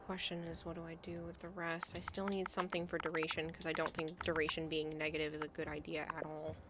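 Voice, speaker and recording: A woman streaming a game and chatting casually, thinking aloud as she plans, her voice going hoarse. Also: low voice, flat delivery, fast, loud music behind the voice. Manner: thinking aloud, hesitant, soft at times. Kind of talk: casual game livestream chat.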